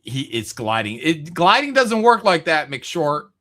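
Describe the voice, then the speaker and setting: A man's voice: on the high side, big pitch swings, a little slow, bright, forceful tone. A male streamer chatting casually in reaction to game cut scenes, blunt, slangy and sceptical.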